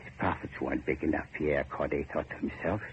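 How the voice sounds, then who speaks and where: A grim boatman with a very low voice and a foreboding, cryptic air. He talks in folksy, clipped phrases in the manner of vintage radio horror. The recording is muffled and noisy, like an old broadcast. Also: fairly even intonation, brisk. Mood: intense, bitter. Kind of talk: 1940s radio horror acting.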